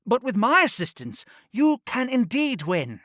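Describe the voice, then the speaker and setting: A man's voice: very high-pitched, huge pitch swings, measured pace, fairly bright tone, no room sound, studio quality, exaggerated voice. An actor playing a proud, pleading nobleman in his fifties in a fantasy audiobook, formal and deferential yet insistent. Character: proud, pleading fifty-six-year-old nobleman schemer. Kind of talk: full-cast fantasy audiobook acting.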